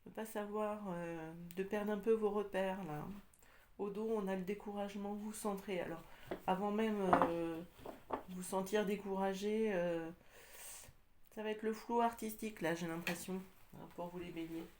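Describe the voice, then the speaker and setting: A woman with a slight cold doing a casual tarot reading, talking hesitantly with many pauses and fillers. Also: mid-range voice, steady tone, a little mellow, slight background noise. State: has a cold, slightly congested. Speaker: tarot card reader.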